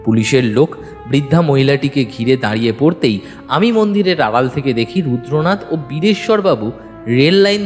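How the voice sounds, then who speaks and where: A man's voice: mid-range voice, darkish tone. A man narrating a detective audio story in the first person, suspenseful and dramatic. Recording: long reverb, music bed. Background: music.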